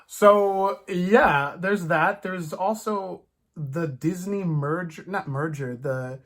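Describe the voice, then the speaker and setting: A man co-hosting a fan discussion in a casual, animated way, often slipping into imagined quotes as he talks. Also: sits high, slow pace. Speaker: co-host of a fan discussion show.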